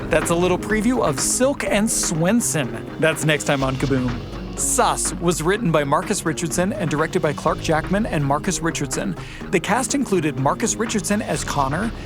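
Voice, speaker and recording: A man co-hosting a podcast, speaking in a conversational, reflective way. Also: fairly high pitch. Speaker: podcast co-host. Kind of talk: conversational talk and credit reading.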